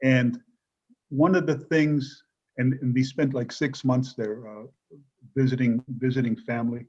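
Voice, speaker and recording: A man's voice: mid-range voice, steady tone, a little slow, a little mellow. Older man on dull lo-fi audio. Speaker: panelist author. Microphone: video call. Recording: dull lo-fi video call audio.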